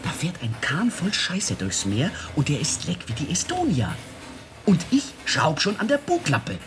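An actor playing a crude, mocking journalist who tells things with sardonic relish in a radio drama. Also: male voice, on the high side, huge pitch swings, moderate pace, over music. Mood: eager. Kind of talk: German radio drama acting.

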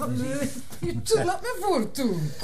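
An actress playing a brisk, affectionate, slightly bossy Flemish wife and hostess in naturalistic television drama. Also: female voice, sits high, wildly swooping pitch, exaggerated voice. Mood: flustered, brisk. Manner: colloquial, exclaiming, bossy but affectionate. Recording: noisy TV soundtrack.

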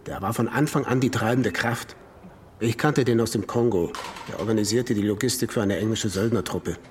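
An actor playing a defensive, indignant imprisoned swindler in a radio drama, speaking emphatically in clipped phrases. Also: male voice, on the low side, fairly quick, slight background noise, lightly acted. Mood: bitter. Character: imprisoned swindler, defensive, indignant man blaming his runaway partner. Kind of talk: German radio drama acting.

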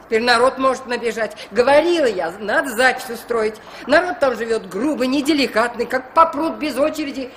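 A woman's voice: a little high, brisk, hardly any pauses, fairly bright tone, slight background noise, lightly acted. Fussy, chattering village woman, played by an actress. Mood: bossy, anxious. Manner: fretful, folksy, chattering. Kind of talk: 1960s Soviet radio play acting.

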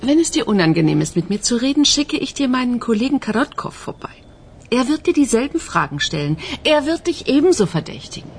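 Wry no-nonsense lady cop, middle aged, radio crime play, pitch moves a lot, faint ambience. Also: big pitch swings, a little fast, a little hiss or hum. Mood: firm, cool. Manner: matter-of-fact, wry, firm. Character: dry, unyielding female Moscow police detective. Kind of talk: radio crime drama dialogue.

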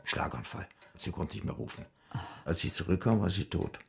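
An old widower close to death in a radio crime play. His voice is full of gravel and fry, and he speaks in wordy self-accusation, submissive and morbid. There is noise behind him. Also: sits low, moderate pace. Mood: bitter, resigned. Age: older. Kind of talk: German radio crime drama acting.

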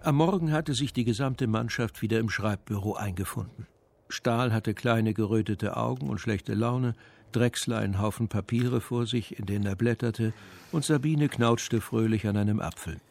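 A man's voice: lowish voice, normal speed, vocal fry, a little mellow. A man reading scripted narration as the narrator of a radio drama.

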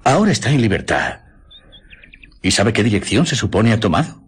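Radio drama sailor: Castilian accent, very husky, hugely animated pitch, chatty, touchy and emphatic. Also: male voice, brisk, exaggerated voice. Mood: uneasy, gossiping. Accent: Castilian Spanish. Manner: emphatic, indignant when crossed. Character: talkative, excitable, easily offended male sailor. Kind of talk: Spanish radio drama acting.

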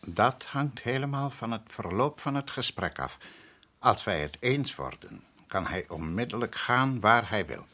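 An actor playing a proud island head priest in a radio drama, courteous and measured yet stubborn, with a husky voice. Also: male voice, fairly low pitch, very animated, bright, forceful tone, exaggerated voice. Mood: placating. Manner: measured, courteous, evasive, insistent.

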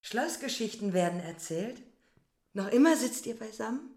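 An actress in a radio drama playing a proud, aloof youngest sister, speaking with cool irony. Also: female voice, a little high, animated, moderate pace, darkish tone. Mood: mocking. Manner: ironic yet serious, cool.

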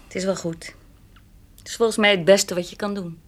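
An actress playing a calm, frank, independent woman in a radio drama, plain-spoken and gently teasing. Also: medium pitch, a little fast, natural voice. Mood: gentle, reassuring.

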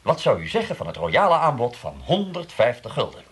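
Affected, swooping pompous film director. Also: male voice, medium pitch, wildly swooping pitch, brisk, husky, heavily acted. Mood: hesitant bargaining. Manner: affected, sprinkles in French phrases, hesitant hedging. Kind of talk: comic radio play acting.

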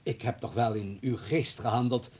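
An actor in a radio play playing a fussy, deferential hospital administrator who talks in a wordy, flustered, obliging way. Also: male voice, medium pitch, fairly even intonation, moderate pace, darkish tone, natural voice. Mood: placating. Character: fussy, deferential male hospital administrator, eager to justify himself.

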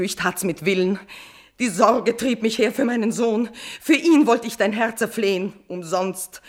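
A tragic queen in a classical verse radio play, consumed by forbidden love. She declaims in a mid-pitched voice, feverish and stately, sinking into whispers and swelling into passionate outbursts. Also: a bit bright. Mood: ashamed, agitated. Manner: impassioned declamation, sudden drops to breathy whispers and swelling outbursts. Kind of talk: classical verse tragedy, stage-like radio drama acting.

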